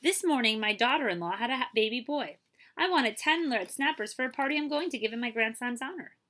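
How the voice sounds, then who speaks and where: A woman's voice: a little high, expressive, brisk, bright, forceful tone, pitched above the performer's own voice. A woman voicing a kindly, astonished old male merchant in a storybook, emphatic and full of wonder. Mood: wondering, grateful.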